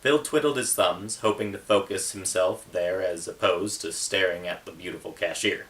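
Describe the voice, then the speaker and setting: A man with a fairly low, bright and forceful voice speaks as an author presenting his own writing. The microphone sounds set well away from him. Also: moderate pace, recorded from a distance. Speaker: author reading from own book. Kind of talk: casual book intro, then narrated reading.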